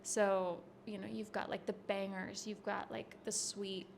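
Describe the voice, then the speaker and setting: A woman in her thirties being interviewed backstage, answering in a relaxed, rambling way and laughing easily. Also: mid-range voice, a little slow, gravelly, a little hiss or hum. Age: 30s. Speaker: touring bassist guest. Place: backstage at a concert venue.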